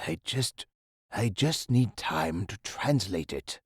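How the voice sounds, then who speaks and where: An actor playing a busy, modest academic professor, formal and articulate, somewhat fussy and evasive, in a video game. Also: male voice, fairly low pitch, animated, slightly rough, dry recording, clean studio sound, full character voice. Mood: agitated, evasive. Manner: formal, articulate, slightly hurried. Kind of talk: scripted point-and-click game dialogue.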